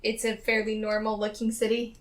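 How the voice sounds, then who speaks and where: A woman's voice: fairly high pitch, completely flat delivery, brisk. A woman playing a tabletop roleplaying game, casually asking questions about the scene.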